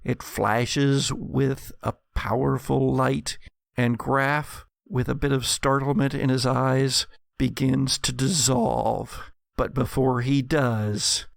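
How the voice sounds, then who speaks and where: Male game master, measured and dramatic horror narration, gravelly edge, unhurried pace. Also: mid-range voice, steady tone, dry recording, studio quality. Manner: dramatic, deliberate storytelling in second person.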